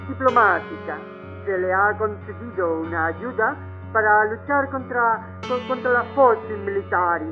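Man acting a slow, high-voiced uneasy ambassador. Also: narrow, tinny audio. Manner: hesitant, stammering, formal. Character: uneasy, diplomatic Italian ambassador, anxious but formal. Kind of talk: audio drama acting.

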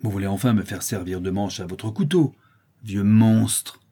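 A man's voice: mid-range voice, normal speed, mellow, natural voice. A man voicing a sly, mocking crippled street boy in a dramatized audiobook. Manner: jeering, sneering.

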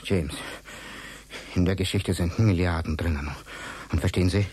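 A man's voice: sits low, steady tone, moderate pace, a little mellow, husky. An actor in a German radio drama playing a hunted, irritable man over eighty, urgent and stammering. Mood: desperate, confiding. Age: 80s.

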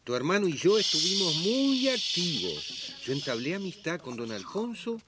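An actor playing a sly, good-humoured uncle in a radio drama, with a wry, theatrical manner. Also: male voice, high voice, very animated, normal speed, very noisy. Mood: eager.

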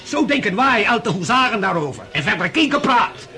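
A man's voice: high-pitched, sing-song, moderate pace, bright, forceful tone, full character voice. A man playing a patriotic old hussar colonel in a radio play, speaking in emphatic, declamatory phrases. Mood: fervent, blustering. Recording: music behind the voice.

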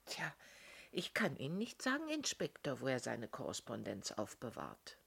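Husky, low-voiced defensive landlady. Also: huge pitch swings, moderate pace, fairly bright tone, exaggerated voice. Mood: guarded. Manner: hesitant, guarded. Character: defensive, hesitant working landlady, loyal to her lodger. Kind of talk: German radio play acting.

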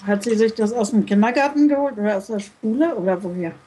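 Brisk woman asking quick questions. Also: a little high, animated, warm tone, slightly rough. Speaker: podcast guest player. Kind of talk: casual game chat.

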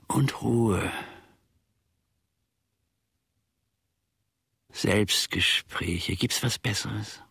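An actor playing a grumbling, self-important manservant who muses pedantically to himself in a German radio play. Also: male voice, lowish voice, vocal fry, a bit bright, slightly rough, no room sound, studio quality, heavily acted. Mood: musing, self-satisfied. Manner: ruminating, pedantic, self-satisfied.

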